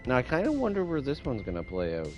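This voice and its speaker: A man's voice: mid-range voice, huge pitch swings, quick pace, darkish tone. A man streaming a game with casual, musing commentary, often repeating himself. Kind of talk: casual livestream commentary, reading game dialogue aloud. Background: music.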